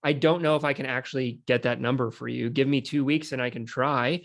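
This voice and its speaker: Man, casual chat, informal, halting phrasing, lots of filler words, medium pitch. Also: fairly even intonation, vocal fry. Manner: informal, halting, peppers speech with "like". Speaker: real estate wholesaler in conversation.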